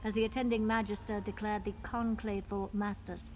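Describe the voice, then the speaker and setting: A woman's voice: medium pitch, flat delivery, normal speed, lightly acted. A woman voicing an officious, formal council clerk in a fantasy video game. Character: officious female clerk guarding a wizard council. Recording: faint background ambient noise.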